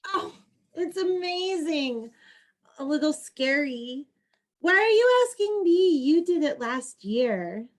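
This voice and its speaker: Woman acting high-voiced, perky young graduate. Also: very animated, slow, exaggerated voice. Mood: giddy. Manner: girlish slang, emphatic. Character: Madison, perky, agreeable young graduate, earnest homebody. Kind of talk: online play reading, comedic dialogue.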